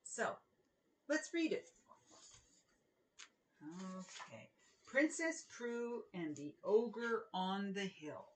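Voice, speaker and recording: Slow-paced, expressive woman storyteller. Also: medium pitch, slight background noise, low-quality recording. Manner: expressive, deliberate storytelling with emphasized words. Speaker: children's author reading aloud. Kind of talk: children's read-aloud and informal author talk.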